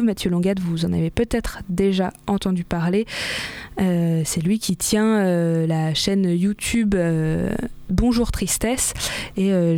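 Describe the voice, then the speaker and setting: A woman hosting a community radio show, presenting upcoming events in a relaxed way with frequent hesitations. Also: medium pitch, dry recording. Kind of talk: reading event listings on local radio.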